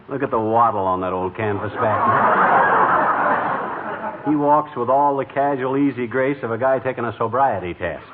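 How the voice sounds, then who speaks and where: A man's voice: mid-range voice, unhurried, pauses often, close to an everyday voice. A man playing a blustering, boastful, easily riled husband with folksy wisecracks in a 1940s radio comedy. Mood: mocking. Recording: noisy, muffled vintage radio broadcast.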